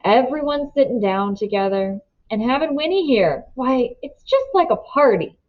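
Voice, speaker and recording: A woman's voice: high voice, lively intonation, moderate pace, highs cut off. A woman voicing a fond but firm country mother with folksy, rustic speech in a storybook reading. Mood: contented. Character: fond, firm rural mother presiding over breakfast.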